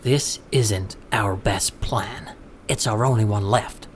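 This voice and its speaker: An actor playing a mysterious, businesslike man in a suit in an audio drama, speaking in a clipped, measured, formal way. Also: on the low side, a little hiss or hum. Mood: cool, measured.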